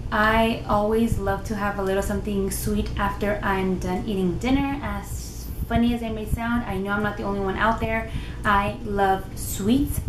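A young woman with a naturally loud voice, chatting casually to the camera in her living room with lively gestures. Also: mid-range voice. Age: young adult. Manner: animated, gestures a lot with her hands. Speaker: lifestyle vlogger. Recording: roomy with noticeable background noise.

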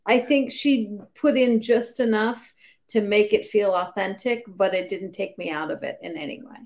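A woman's voice: medium pitch, moderate pace, darkish tone. A woman in her thirties chatting casually and hesitantly about books on a video call, understated in manner. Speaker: book club co-host. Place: small room at home.

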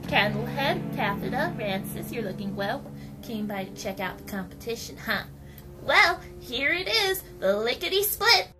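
A woman's voice: a little high, lively intonation, moderate pace, natural voice. A woman voicing a scrappy little outcast girl racer in an amateur cartoon fan dub, chirpy and defiant. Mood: proud, chirpy. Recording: music behind the voice.

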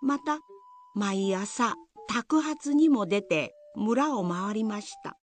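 Female storyteller, medium pitch, scripted folktale narration, radio drama, faint music behind. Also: slow pace.

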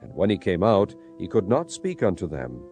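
Mellow, expressive male scripture narrator. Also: sits low, moderate pace. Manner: solemn storytelling. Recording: music behind the voice.

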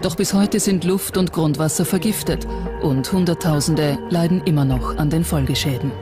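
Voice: mid-range voice, moderate pace. A documentary narrator reading a scripted account. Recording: music under the voice. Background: background music.

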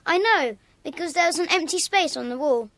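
A woman's voice: very high-pitched, expressive, fairly quick, a bit bright, no echo, full character voice. A woman with a British accent playing an earnest, indignant schoolboy in a children's audio drama. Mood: earnest, upset.

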